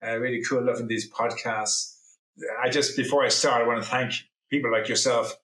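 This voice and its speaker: A man's voice: medium pitch, steady tone, moderate pace, a bit bright. Rambling middle-aged man, echoey room. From Ireland. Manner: rambling, self-correcting, earnest. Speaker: guest. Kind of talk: personal testimony in an interview.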